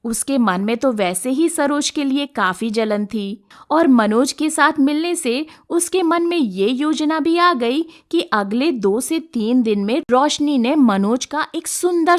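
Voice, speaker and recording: A woman narrating a scripted moral story as a storyteller. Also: a little high.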